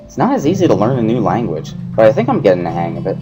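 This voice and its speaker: Casting: male let's play narrator, expressive first-person story reading over music. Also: fairly low pitch, rapid-fire, lo-fi sound. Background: background music.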